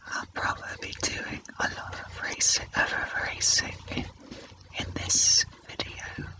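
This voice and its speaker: A man whispering an unhurried, musing ASMR commentary as he draws. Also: low-quality recording. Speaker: ASMR artist, host.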